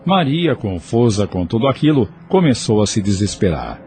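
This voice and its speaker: A male narrator with a Brazilian Portuguese accent tells the story in a low, mellow voice. His delivery is measured yet lively in pitch, and soft music plays under him. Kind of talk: scripted audio drama narration.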